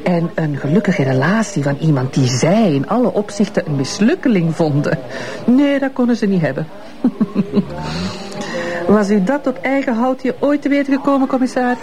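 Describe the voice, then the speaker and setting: Woman acting as proud bitter Flemish lady, ironic, scolding, very lively pitch. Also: moderate pace, crowd noise, lightly acted. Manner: ironic, scolding, self-assured. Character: proud, bitter middle-aged Flemish woman, Mrs Mertens. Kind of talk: radio drama acting.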